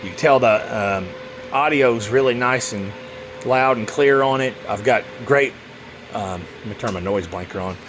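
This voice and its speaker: A man's voice: mid-range voice, normal speed, a bit bright, a little hiss or hum. A man giving a casual, rambling hands-on product demo, muttering to himself as he goes. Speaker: radio seller demonstrating a CB radio.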